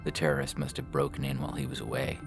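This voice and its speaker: A man's voice: extremely low pitch, very animated, racing, creaky. A man reading scripted fiction as the narrator of a story. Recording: music bed under the voice. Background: music.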